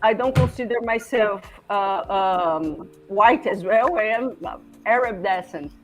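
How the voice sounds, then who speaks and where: A woman in her forties from Brazil, speaking English with a Brazilian Portuguese accent as a podcast guest, halting and searching for words with many restarts. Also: medium pitch, faint music behind. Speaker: podcast guest, former classmate. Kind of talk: casual conversation.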